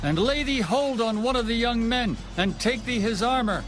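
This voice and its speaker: A man's voice: extremely high pitch, normal speed, fairly bright tone, soft background music. A man playing an urgent, pleading biblical army captain in a dramatized scripture reading, declaiming in formal archaic phrases. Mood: urgent warning.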